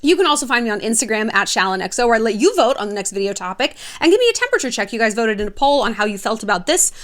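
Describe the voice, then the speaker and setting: A woman's voice: on the high side, very animated, racing, a bit bright. A woman hosting her own video, chatting casually and gossipy to camera in a sassy, irreverent way with some swearing. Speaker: host of an online video channel.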